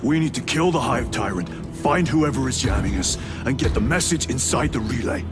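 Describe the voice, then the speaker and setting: An actor playing a stoic, resolute veteran space marine lieutenant with a clipped, commanding way of speaking in a video game. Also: male voice, medium pitch, lively intonation, moderate pace, over loud music, natural voice. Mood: firm resolve.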